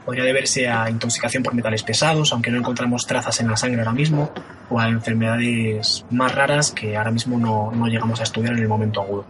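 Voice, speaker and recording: An actor playing a calm, formal intensive care doctor who speaks in clinical terms. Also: male voice, medium pitch, quick pace, lightly acted. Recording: noisy recording.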